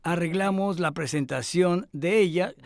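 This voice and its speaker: An older man answering interview questions in a thoughtful, measured way, with pauses and fillers. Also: high-pitched, vocal fry, darkish tone. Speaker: interviewee, literary scholar. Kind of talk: Spanish voice-over of an interview.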